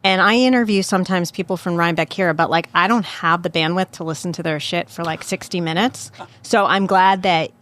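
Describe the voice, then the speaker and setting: An American woman hosts a casual local chat show, talking fast and friendly with frequent laughter. Her voice sits a bit low for a woman. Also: fairly bright tone. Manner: chatty, quick, laughs often. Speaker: podcast host.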